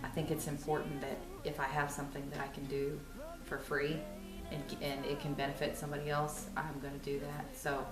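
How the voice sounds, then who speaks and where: Low-voiced woman, roomy studio. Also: completely flat delivery, fairly quick, few pauses, music in the background. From Dallas, Texas. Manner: chatty, restarts and hedges with "you know". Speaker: songwriter guest. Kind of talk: casual interview chat. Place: recording studio.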